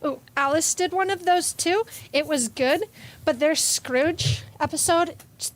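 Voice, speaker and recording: High-voiced woman in light conversation. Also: measured pace.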